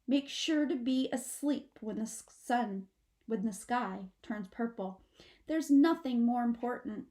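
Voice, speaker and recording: A woman's voice: on the high side, animated, measured pace, mellow, voice pushed up. A woman voicing a mother possum in a storybook, a firm but wistful parent with a stagey, instructing manner. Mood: solemn, urging.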